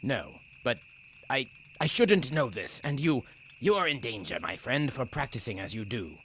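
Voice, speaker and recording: A stern, protective father and guard acted by a man in an audio drama. His voice swings widely in pitch as he blusters in old-fashioned words, with some background noise on the recording. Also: big pitch swings, normal speed, fairly bright tone. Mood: alarmed, flustered. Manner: blustering, stammering when troubled, archaic phrasing.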